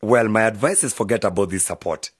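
A protective older brother in a radio drama, steady and firm. His voice is lowish and husky. Light music runs faintly behind the dry recording. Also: brisk, dry recording. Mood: concerned. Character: older brother giving stern moral advice.

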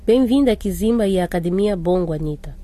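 A woman playing a formal, welcoming school headmistress in a radio drama, measured and courteous. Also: lowish voice, animated, mellow.

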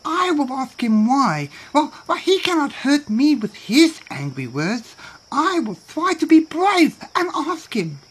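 A woman's voice: sits high, sing-song, measured pace, pitched above the performer's own voice, poor audio. A woman voicing a timid, kindly little male bird in a children's folktale, polite and stammering as he tries to be brave. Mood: nervous resolve. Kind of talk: children's animal folktale character.

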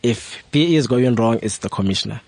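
A man on a discussion panel who speaks in a measured way and repeats words while he builds a point. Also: medium pitch, expressive, gravelly, darkish tone, no room sound.

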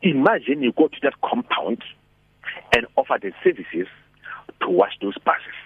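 A man speaks in a husky, gravelly voice, full of animated persuasion and rhetorical questions. His pitch leaps up and down as he pushes his point. The sound is thin and dry, like a phone call. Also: normal speed, no echo. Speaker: radio guest speaker. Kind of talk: motivational phone-in talk. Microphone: telephone. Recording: tinny dry phone line.

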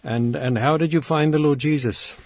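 A man hosting an interview programme, speaking haltingly with frequent pauses. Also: fairly high pitch, fairly even intonation, darkish tone. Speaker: radio interview host. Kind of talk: missionary interview programme with anecdotes. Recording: muffled, roomy radio recording.

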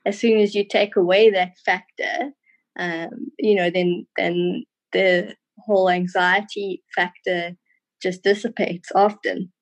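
A woman's voice: mid-range voice, level tone, takes it slowly, no room sound. Woman chatting as a podcast guest, thoughtful and encouraging, really dry sound. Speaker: podcast guest, former tour guide. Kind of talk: casual interview chat.

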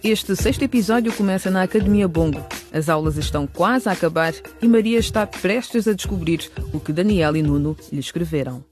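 A man's voice: high voice, lively intonation, fairly quick, few pauses, over music. A man narrating a radio soap in a scripted, presenting style.

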